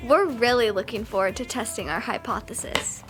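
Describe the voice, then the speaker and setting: A woman's voice: on the high side, moderate pace, fairly bright tone, faint music behind, close to an everyday voice. A woman playing a wry, matter-of-fact young female alien crew member in a comedic audio drama. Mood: upbeat.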